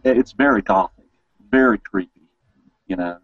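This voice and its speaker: A man's voice: fairly low pitch, moderate pace, dry recording, muffled recording. A man hosting a casual interview, talking informally and enthusiastically. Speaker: interview host. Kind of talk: casual chat.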